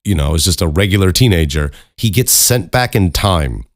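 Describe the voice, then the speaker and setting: A man's voice: sits low, animated, moderate pace, no room sound. A man hosting a solo podcast in a casual, rambling, informal way, close to the microphone. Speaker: podcast host.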